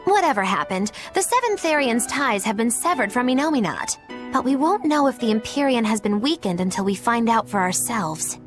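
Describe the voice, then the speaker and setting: A woman's voice: fairly high pitch, sing-song, normal speed, music in the background. An actress voicing a playful, theatrical young witch in game dialogue, teasing and sly in her delivery. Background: game score.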